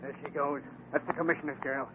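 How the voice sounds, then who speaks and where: An actor playing a rough, eager gangster henchman in a 1940s radio serial, tough and urgent with an American accent. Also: fairly high pitch, fairly even intonation, fast talker, natural voice. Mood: watchful. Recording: muffled, noisy vintage 1940 radio recording.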